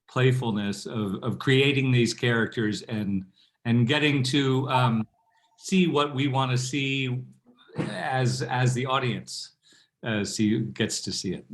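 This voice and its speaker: A man, an actor on a panel, talking casually and a little haltingly as he searches for phrasing. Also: medium pitch.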